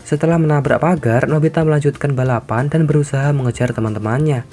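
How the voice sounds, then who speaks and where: A man's voice: on the high side, fast, soft background music. Man casually narrating a cartoon recap. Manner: relaxed, chatty, informal asides to viewers. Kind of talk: casual scripted story recap.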